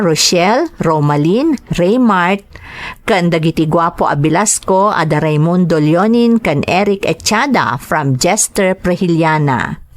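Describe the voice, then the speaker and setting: A woman's voice: lowish voice, dry recording. A woman with an Ilocano accent reading greetings and letters as a radio-style podcast host.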